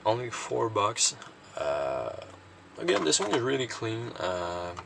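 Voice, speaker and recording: A man with a deep, fry-laden voice chats through his collection in an unscripted way. He rambles and hesitates, leaning on filler words. It sounds like a home recording with noticeable background noise. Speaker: collector presenting cassette collection. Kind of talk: casual unscripted show-and-tell.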